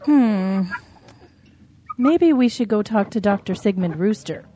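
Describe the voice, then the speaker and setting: A woman's voice: medium pitch, lively intonation, takes it slowly, mellow, a little hiss or hum. A woman voicing a fretful, caring mother cow in an audio drama, motherly and exclaiming. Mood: concerned.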